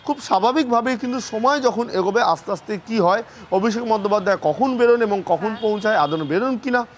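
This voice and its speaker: A man's voice: high-pitched, fast, slight background noise. A man with a West Bengal accent giving an unscripted live field report in an emphatic, repetitive manner.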